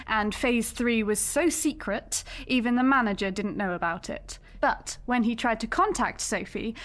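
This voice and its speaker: A woman's voice: on the high side, lightly acted. An actress playing a businesslike female police sergeant in an audio drama, firm and matter-of-fact.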